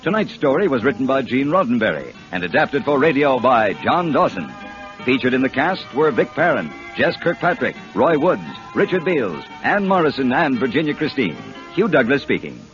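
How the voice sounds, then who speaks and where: A man's voice: mid-range voice, very animated, moderate pace, fairly bright tone. A man announcing credits in a formal, declamatory 1950s radio style. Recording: muffled vintage radio with music.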